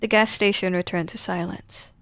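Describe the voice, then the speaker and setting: A woman's voice: fairly low pitch, steady tone, moderate pace, a bit bright, smooth, no echo. A woman game streamer, moving between reading game narration aloud and casual live chat.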